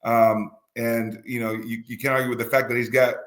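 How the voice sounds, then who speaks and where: A man's voice: medium pitch, flat delivery, normal speed, a bit bright. Enthusiastic man from the Northeast. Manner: enthusiastic, lots of fillers. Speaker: baseball podcast co-host. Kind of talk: casual sports chat.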